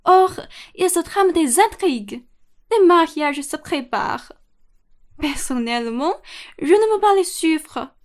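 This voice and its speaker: A woman's voice: very high-pitched, lively intonation, slow pace, heavily acted. A woman playing a capricious, imperious, bored rich old lady in a comic radio play, with theatrical, exclamatory delivery. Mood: agitated, imperious. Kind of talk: stage-comedy radio play acting in French.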